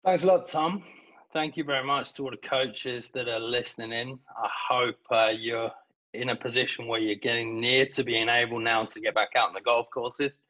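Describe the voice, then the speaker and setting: A British man, a golf coach, giving a measured webinar presentation over a video call, with some hesitations. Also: mid-range voice, fairly even intonation, pushed and bright, dull audio. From Britain.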